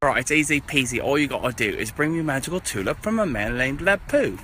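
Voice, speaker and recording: A man voicing an excitable, bossy, cheeky magical bumblebee in a storybook. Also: medium pitch, fast, slight background noise.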